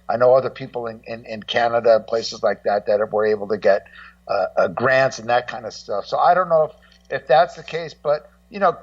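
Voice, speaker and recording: A man's voice: medium pitch, no echo. California guy just chatting, repeats himself, trails around, blunt, kinda muffled and off the mic a bit. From Los Angeles, United States. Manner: rambling, halting, repeats words, blunt and profane. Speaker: guest co-host, documentary maker. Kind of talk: casual interview chat. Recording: dull, muffled, slightly distant sound.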